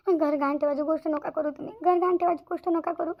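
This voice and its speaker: A woman's voice: very high voice, dull audio. A woman voicing a worried, stubborn village mother in a Marathi cartoon, plaintive and repetitive, with a Vidarbha Marathi accent.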